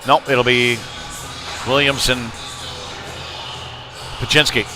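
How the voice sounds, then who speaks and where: A man's voice: medium pitch, flat delivery, slow, over music, crowd noise. A man calling live play-by-play of a youth hockey game in a folksy, conversational way, with analytical asides. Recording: noisy rink ambience behind voice.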